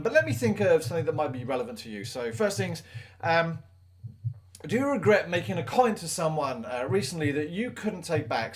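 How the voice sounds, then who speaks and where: A man, a maths teacher giving students earnest advice in a conversational, reflective talk full of fillers. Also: a little high.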